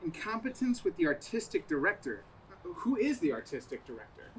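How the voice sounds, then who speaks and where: Man playing a deadpan assistant, distantly miked. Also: sits high, fairly quick. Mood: dry. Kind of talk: community theatre stage acting. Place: outdoor stage in a parking lot. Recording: distant mic with noisy outdoor ambience.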